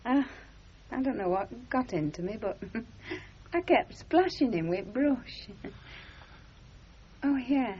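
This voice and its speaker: An actress playing an aggrieved, chatty middle-aged working-class wife with a Northern English accent in a radio comedy drama. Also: female voice, fairly high pitch, husky, full character voice. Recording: muffled 1970s radio drama recording.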